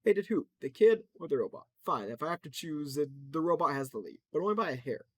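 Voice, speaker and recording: A man voicing a brash, joking male trickster in a loud, high-pitched voice. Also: animated, a little fast, no echo. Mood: mocking.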